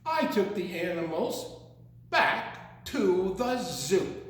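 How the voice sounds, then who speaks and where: A man's voice: high-pitched, big pitch swings, slow. A man reading a story aloud to children in a theatrical, playful way, drawing out his words and adding asides. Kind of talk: animated read-aloud storytime with asides and sound imitations. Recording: very echoey room.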